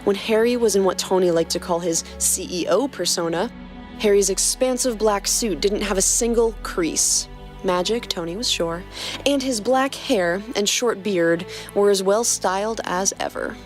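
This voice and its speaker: Woman reading fan fiction over soft music. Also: mid-range voice, moderate pace. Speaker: podcast co-host and reader. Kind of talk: reading fan fiction aloud and casual banter.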